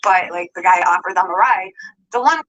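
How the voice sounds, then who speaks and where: A woman's voice: fairly low pitch, brisk, a bit bright, low-quality recording. A woman telling a long personal story, rambling and adding details as she goes. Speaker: vlogger telling her story.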